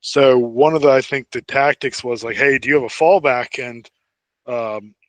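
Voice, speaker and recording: A man's voice: mid-range voice, moderate pace, dry recording. Man chatting casually, dry close sound. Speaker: podcast co-host. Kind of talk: casual fan chat.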